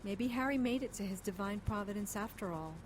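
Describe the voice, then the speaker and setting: An actress playing a determined, weary detective's partner, sober and matter-of-fact in audio drama narration and dialogue. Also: female voice, mid-range voice, brisk, very noisy, close to an everyday voice. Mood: resigned. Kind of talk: scripted first-person audio drama narration.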